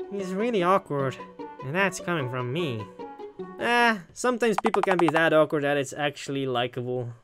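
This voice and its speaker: A woman's voice: low voice, sing-song, measured pace, long pauses. A woman doing a casual visual novel let's play, chatty and informal with teasing asides. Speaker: gaming video host. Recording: loud music behind the voice. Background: game music.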